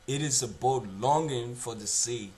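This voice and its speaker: A man's voice: mid-range voice, mellow, a little hiss or hum, voice pushed up, natural voice. A young man playing a regretful man who reflects earnestly on his unlived life in an emphatic theatrical monologue. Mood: yearning, impassioned. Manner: declamatory, emphatic, with dramatic pauses.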